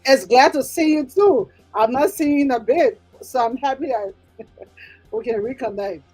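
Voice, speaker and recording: A woman's voice: sits high, normal speed, dark tone, slightly rough, faint music behind. A woman with a Liberian accent, explaining things earnestly and emphatically as an interview guest. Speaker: interview guest, nonprofit executive director.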